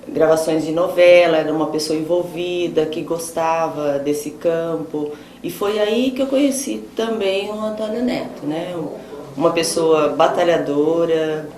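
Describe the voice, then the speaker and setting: Lowish-voiced Brazilian woman, echoey room. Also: darkish tone. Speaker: theatre performer giving a tribute. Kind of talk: informal spoken testimonial. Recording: echoey room, faint noise.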